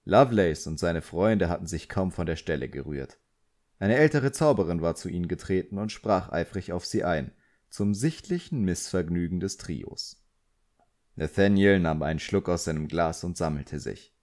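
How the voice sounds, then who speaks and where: A man's voice: low-pitched, lively intonation, normal speed, darkish tone. A man reading a novel as scripted audiobook narration.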